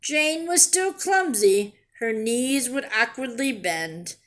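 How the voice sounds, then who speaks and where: Slow-paced woman reading to young children. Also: a little high, animated, a bit bright. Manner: pauses to ask simple questions and explain words. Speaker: children's storyteller and teacher. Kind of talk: read-aloud of a picture book for young children.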